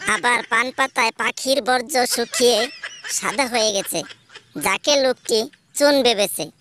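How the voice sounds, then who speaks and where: A woman plays a cheeky cartoon schoolchild with a very high, bright voice. The pupil speaks with cocky, matter-of-fact confidence. There is faint noise on the recording. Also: measured pace. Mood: confident, storytelling. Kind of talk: comedy cartoon dialogue.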